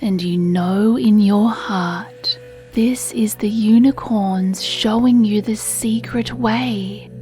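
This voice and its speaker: A woman reads a children's bedtime meditation at an extremely slow, unhurried pace. Her voice is soft, mellow and hushed, with a soothing, breathy gentleness. Quiet music plays behind her. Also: medium pitch, fairly even intonation. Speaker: meditation narrator. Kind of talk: children's sleep meditation storytelling, scripted narration.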